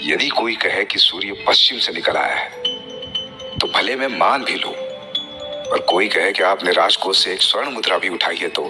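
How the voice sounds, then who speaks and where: A man's voice: deep voice, moderate pace, music in the background, close to an everyday voice. A man playing a crafty, composed royal minister in a historical audio drama, speaking formally and persuasively.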